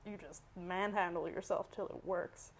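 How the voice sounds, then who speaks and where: A woman with a Canadian accent talking casually as an interview guest, in an animated, emphatic and slangy way. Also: fairly low pitch, normal speed, crowd noise. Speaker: guest, dancer and artist.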